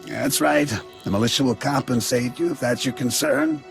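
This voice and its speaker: An actor playing a gruff, stoic militia leader with a terse, commanding manner in a video game. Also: male voice, medium pitch, moderate pace, lightly acted. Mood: grim, terse. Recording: faint background music.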